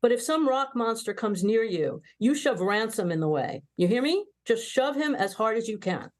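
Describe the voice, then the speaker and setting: A woman's voice: a little high, lively intonation, moderate pace, close to an everyday voice. A woman playing a brash, sardonic young starship officer in an amateur sci-fi comedy table read. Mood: urgent. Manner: sardonic, blunt.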